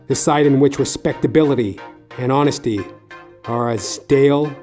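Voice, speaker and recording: A man playing a sardonic, world-weary private detective, narrating deadpan in hard-boiled style for an audio dramedy. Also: medium pitch, moderate pace, lightly acted. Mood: wry, world-weary. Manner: wry, deadpan wisecracking. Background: music behind the voice.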